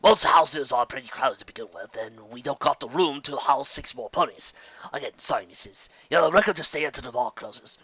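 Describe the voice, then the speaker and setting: Man putting on a gruff, folksy old stallion barkeep. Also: brisk, pushed and bright, highs cut off. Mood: apologetic. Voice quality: deep, brassy voice. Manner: folksy, hospitable. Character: corpulent old stallion bartender, gruff but welcoming.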